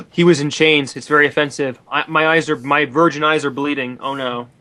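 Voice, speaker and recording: Guy doing a super offended prissy movie viewer, fake gaspy drama, bright sharp voice. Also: fairly high pitch, steady tone, normal speed. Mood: mock horror. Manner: gasping, sarcastic melodrama. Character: mock-horrified, prissy, easily offended male moviegoer.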